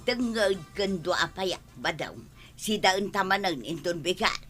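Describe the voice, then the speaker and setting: A woman's voice: lowish voice, animated, unhurried, music in the background. A woman acting a chatty, teasing village woman in a comedic audio drama. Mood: exclaiming.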